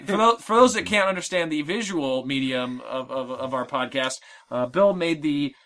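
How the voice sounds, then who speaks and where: A man in his thirties hosting a casual podcast chat, giggly and animated. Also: a little high, normal speed. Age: 30s. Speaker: podcast host.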